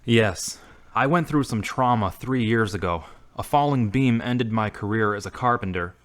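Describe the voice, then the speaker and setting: Audio drama role: male actor as earnest ex-carpenter weighed by grief, searching for faith, somewhat low register, plainly worded. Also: moderate pace, natural voice. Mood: somber, earnest. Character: grieving former carpenter, earnest, seeking faith again. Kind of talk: amateur audio drama acting.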